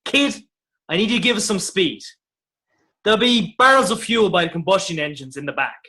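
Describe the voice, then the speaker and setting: A man playing a brash, cocky spaceship captain in a sci-fi audio drama, barking brisk orders. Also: high voice, animated, pushed and bright. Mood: commanding, cocky.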